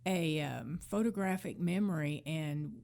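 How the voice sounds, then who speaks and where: A woman hosting a casual talk show, giving conversational commentary in measured, hesitant phrases. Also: low voice, creaky, a little mellow.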